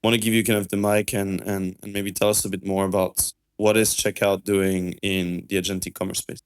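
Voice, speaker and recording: A man's voice: deep voice, level tone, a little fast, smooth, dry recording. A man hosting a podcast interview in a friendly, chatty way. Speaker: podcast host. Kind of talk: casual interview.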